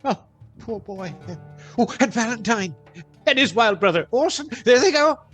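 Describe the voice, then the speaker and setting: A woman acting an excitable old miser reliving his boyhood in a radio play, stammering and exclaiming in theatrical style. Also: fairly high pitch, moderate pace, faint music behind. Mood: excited, delighted.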